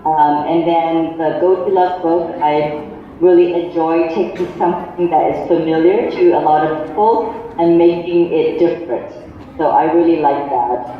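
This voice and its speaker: A middle-aged woman from Malaysia, a children's author speaking as a guest, answering questions gently and unhurriedly, pausing often to search for words over a video call. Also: low voice. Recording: echoey, dull lo-fi call audio.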